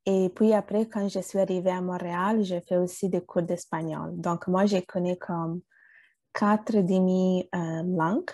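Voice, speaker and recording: A woman's voice: on the low side, fairly even intonation, unhurried, dark tone, no room sound. Hesitant woman, dry sound. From Italy. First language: Bengali and Italian. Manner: hesitant, many fillers and restarts. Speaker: interview guest. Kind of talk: conversational interview.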